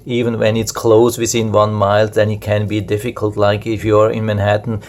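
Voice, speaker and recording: Flat-toned man demonstrating a gadget. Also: on the low side, moderate pace, slight background noise. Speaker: product reviewer. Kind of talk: casual unscripted product demonstration.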